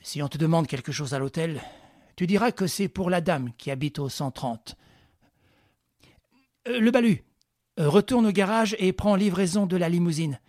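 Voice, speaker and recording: A man voicing a cunning gentleman thief who gives orders briskly and with dry irony. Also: high-pitched, dry recording, pitched above the performer's own voice, close to an everyday voice. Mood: commanding. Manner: brisk, commanding, ironic.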